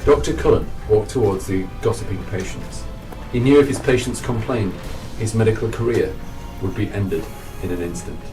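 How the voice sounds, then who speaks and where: A man's voice: on the low side, normal speed, few pauses. A man narrating a scripted radio drama as storyteller. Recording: distant microphone under clear music.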